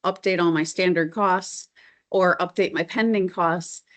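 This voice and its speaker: A woman with a voice a little lower than most gives a software demonstration. She explains each step conversationally, in an informal and enthusiastic manner, like a consultant training users. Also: steady tone, measured pace. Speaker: software consultant presenting new features. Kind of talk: software demo walkthrough, conversational.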